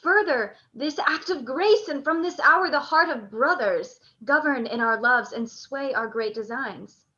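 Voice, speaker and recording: A proud soldier-statesman played by a woman in a Shakespearean radio play, pitched very high with a bright, forceful edge. The delivery is formal and declamatory, and the sound is slightly dull and band-limited. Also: measured pace, few pauses. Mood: solemn, resolved. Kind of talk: live radio play acting in Shakespearean verse.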